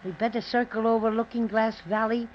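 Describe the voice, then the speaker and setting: A woman playing a small, brisk, kindly toy bear guide in a 1930s radio play. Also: fairly high pitch, fairly even intonation, close to an everyday voice. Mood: cautious, disapproving. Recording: muffled noisy 1930s radio recording.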